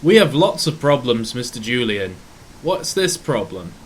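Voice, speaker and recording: A man's voice: mid-range voice, big pitch swings, measured pace, slight background noise. A man voicing an irritable, proud railway owner in an audio drama, blustering and exasperated. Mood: stern.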